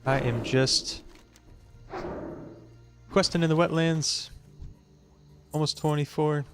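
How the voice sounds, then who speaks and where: Relaxed streamer man chatting over music. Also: a little high, slow pace. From Chicago suburbs, USA. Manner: relaxed, chatty, answers viewers aloud with fillers. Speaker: gaming streamer. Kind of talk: casual chat while playing a game. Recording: music playing behind the voice.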